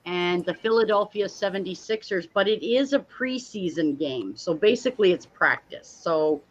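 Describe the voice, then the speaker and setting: A woman hosting a casual live-streamed watch party, chatty and quick to laugh. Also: fairly low pitch, expressive, lo-fi sound. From Canada. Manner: chatty, laughs often, repeats herself.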